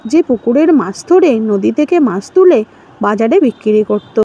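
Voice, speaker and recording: High-voiced woman narrating a folk tale. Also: animated, normal speed, warm tone, muffled recording. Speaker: story narrator. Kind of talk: scripted storybook narration.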